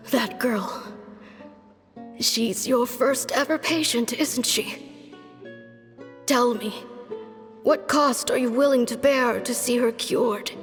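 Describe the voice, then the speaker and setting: A woman voicing a solemn, resolute dying doctor and mentor in a video game, speaking formally and gravely with long reverb. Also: on the high side, slow pace, long pauses, soft background music. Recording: long reverberant hall effect.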